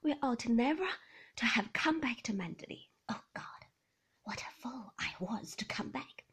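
A bitter, brooding gentleman with clipped, curt words, as a woman narrator reads him. The voice is very breathy and soft, and it moves slowly. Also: a little high, animated, in a whisper, full character voice. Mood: regretful. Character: brooding, curt upper-class man. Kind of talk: audiobook reading.

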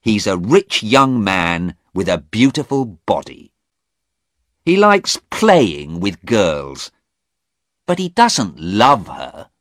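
Man playing an evasive young man, hesitant, defensive, raised and lively pitch, dry sound. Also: slow, no room sound, heavily acted.

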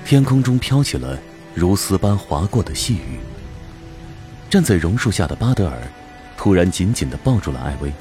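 Casting: male narrator, medium-to-low voice, lyrical storytelling for audio drama. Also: very animated, moderate pace, music in the background.

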